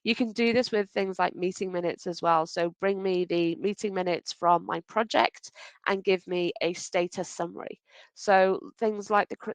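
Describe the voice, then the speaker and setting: A woman's voice: on the low side, no echo, rough recording. A woman from Wales with a faint Welsh accent, presenting a webinar over a video call in a conversational, practical way. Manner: conversational, practical, self-correcting.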